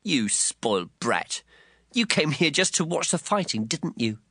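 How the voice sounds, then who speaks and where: An actor playing an angry, scornful elder brother who scolds with a sneer, in a dramatized audiobook. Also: male voice, fairly high pitch, sing-song, a little slow, pushed and bright, no echo, clean studio sound, full character voice. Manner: sneering, accusing.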